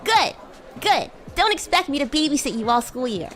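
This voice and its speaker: A woman roleplaying a playful, bratty demon classmate on a livestream, chatting in a halting, improvised way. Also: sits high, very animated, a bit bright, slight background noise. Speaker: streamer and VTuber host.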